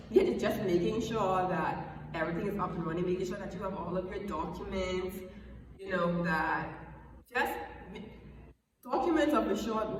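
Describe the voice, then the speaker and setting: A young Bahamian woman giving chatty, emphatic advice in a casual vlog, often repeating herself for emphasis. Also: lowish voice. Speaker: vlogger. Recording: echoey room, far from the mic, background noise.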